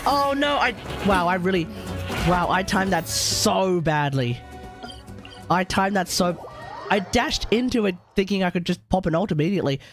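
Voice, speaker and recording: A man's voice: high voice, animated, normal speed. A man livestreaming a video game, talking casually and thinking aloud in an informal way. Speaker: game streamer. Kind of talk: casual live gameplay commentary. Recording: game music behind the voice.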